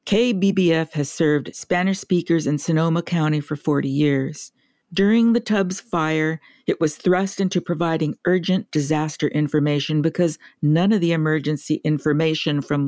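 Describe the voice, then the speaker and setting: A woman journalist narrating a documentary report in a measured, clear way. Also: sits low, darkish tone, dry recording, studio quality.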